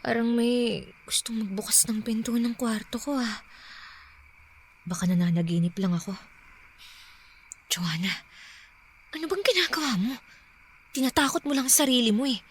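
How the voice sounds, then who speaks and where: An actress playing a frightened young woman alone at night, hushed and jumpy, then turning defiant, in a radio drama. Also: on the high side, animated, normal speed, long pauses, a little hiss or hum, natural voice. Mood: scared, hushed.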